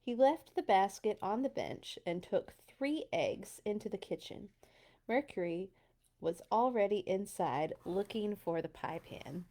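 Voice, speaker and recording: A woman's voice: mid-range voice, expressive, unhurried, warm tone. A woman hosting a children's read-aloud, speaking informally and chatting in asides to her listeners between passages. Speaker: children's storytime host.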